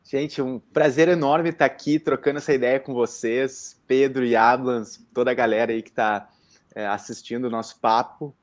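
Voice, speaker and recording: A man talking in an intense, expressive way as a guest introducing himself, using slangy interjections. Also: medium pitch, normal speed. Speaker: guest, founder of a social communication initiative. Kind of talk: casual self-introduction on a talk.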